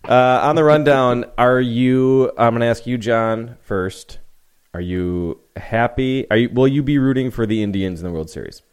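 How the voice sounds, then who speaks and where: A man's voice: medium pitch, normal speed, smooth. A man co-hosting a sports podcast, explaining his views at length in casual chat. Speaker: podcast co-host.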